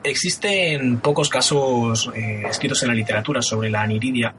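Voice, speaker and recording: An actor playing a calm, formal intensive care doctor who speaks in clinical terms. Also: male voice, mid-range voice, normal speed, soft background music, lightly acted. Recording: noisy recording.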